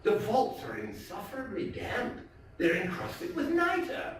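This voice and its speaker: A man's voice: sits high, big pitch swings, unhurried, creaky, a bit bright, voice pushed up, heavily acted. A man playing a vengeful nobleman in a stage reading, theatrically coaxing and feigning friendly concern. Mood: coaxing, solicitous. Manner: theatrical, falsely solicitous, coaxing. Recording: echoey theatre, far from mic, faint noise.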